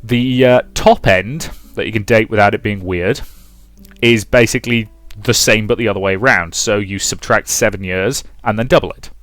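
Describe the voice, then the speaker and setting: A man's voice: lowish voice, normal speed, fairly bright tone, dry recording, faint music behind. A British man in his twenties, a let's play host reading a game aloud and commenting in a wry, rambling way. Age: 20s. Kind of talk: casual commentary while reading a visual novel aloud.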